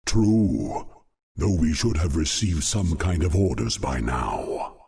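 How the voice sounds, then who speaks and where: A man voicing a proud, stern demon lord in a fantasy game, stately and deliberate, with a gravelly, husky voice. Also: rumbling low, big pitch swings, a little mellow, slight background noise, exaggerated voice. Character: stern, dutiful demon dreadlord, proud and menacing. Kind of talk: fantasy game villain acting.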